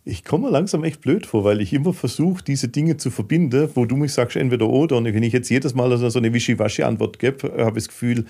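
A man's voice: mid-range voice, a little fast, few pauses, dark tone, slightly rough. A middle-aged man from southwest Germany chatting casually as a podcast guest, enthusiastic and often rambling as he restarts his sentences. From southwest Germany (Heilbronn area). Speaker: interview guest, filmmaker.

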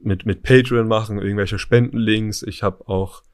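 Guest, male, Berlin-born, lowish voice, halting and trailing answers. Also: fairly even intonation. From Berlin, Germany. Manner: hesitant, trails off, short answers. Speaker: podcast guest. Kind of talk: casual interview chat.

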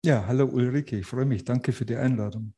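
Very dark-voiced man with a Bavarian accent. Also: medium pitch, brisk. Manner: outspoken, hesitant with many restarts. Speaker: real estate agent, interview guest. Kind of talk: podcast interview.

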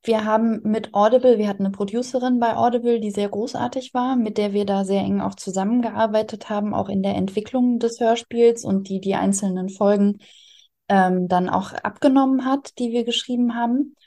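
A woman's voice: mid-range voice, steady tone, a little fast, warm tone. A woman writer explaining her work in conversational interview answers. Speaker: interviewed audio drama writer.

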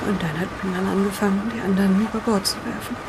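A woman playing a shaken, distraught wife in an improvised audio drama, telling her story in a halting, confiding way. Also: mid-range voice, fairly even intonation, very noisy, lightly acted. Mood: anxious, confessing.

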